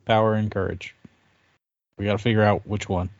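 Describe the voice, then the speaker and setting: A man's voice: lowish voice, flat delivery, fairly quick, no room sound, highs cut off. A man co-hosting a podcast, chatting casually about video games. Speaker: podcast co-host.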